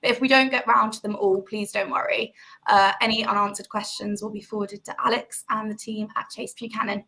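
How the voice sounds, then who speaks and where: A woman's voice: medium pitch, level tone, brisk, gravelly, bright, forceful tone, poor audio. A woman hosting a webinar, giving polite, scripted introductions and instructions. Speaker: webinar host.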